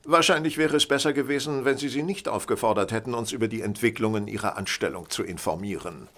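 A man playing a brisk, authoritative detective in an audio drama, speaking formally and deliberately. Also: on the low side, background noise, lightly acted. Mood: grave. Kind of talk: German audio drama acting.

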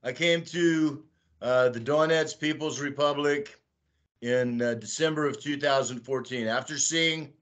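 A man in his sixties from Texas, talking as a guest on a video call from a small room at home, slow and rambling with many "uh" fillers. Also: mid-range voice, a bit bright. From Texas, United States. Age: 60s. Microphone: webcam on a video call.

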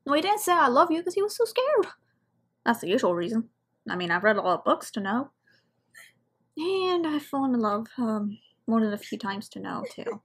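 A woman's voice: fairly high pitch, very animated, moderate pace. A woman giving casual, rambling reaction commentary as she watches an animation. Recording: clear background noise with clicks.

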